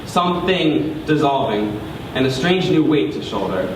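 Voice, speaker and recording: A young man performing theatrical spoken word poetry on stage, with dramatic, deliberate phrasing and drawn-out emphasis. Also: mid-range voice, animated. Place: large room before a live audience. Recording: echoey hall, distant mic, clear background noise.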